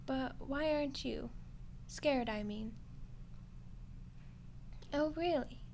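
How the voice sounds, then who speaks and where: A high-pitched skeleton girl acted by a woman speaks straight to the listener in an audio roleplay. She is friendly and awkward, taking her time and stumbling hesitantly through wry, chatty excuses. There is some background noise on the recording. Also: takes it slowly, a little mellow. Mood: curious, chatty. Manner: hesitant, chatty, wryly defensive.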